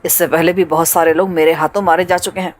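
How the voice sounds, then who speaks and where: A woman voicing a cold, ruthless male pirate leader who talks in a calm, mocking, menacing way. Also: on the low side, soft background music, pitched below the performer's own voice, natural voice.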